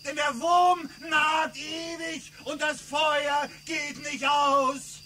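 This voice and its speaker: An actress voicing a cruel mother figure who makes harsh, shouted proclamations. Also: high voice, flat delivery, extremely slow, slight background noise, heavily acted. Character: cruel mother figure handing out gifts of punishment.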